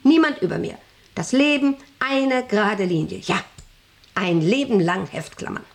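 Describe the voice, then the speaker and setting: Creaky, domineering female factory boss. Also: mid-range voice, lively intonation, unhurried. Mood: rambling, self-important. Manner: intimate, capricious, self-important, probing. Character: domineering, lonely, rambling female factory director, middle-aged. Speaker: actor in an audio drama. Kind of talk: 1990s German radio drama acting, monologue-like.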